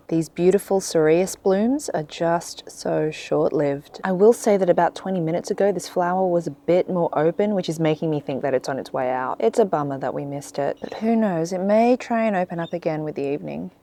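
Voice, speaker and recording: A woman chatting casually in her garden for a vlog, her voice a little croaky from just waking up. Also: fairly low pitch, moderate pace, a little hiss or hum. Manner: chatty, relaxed, thinking aloud. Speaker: gardening vlogger. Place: outdoor garden.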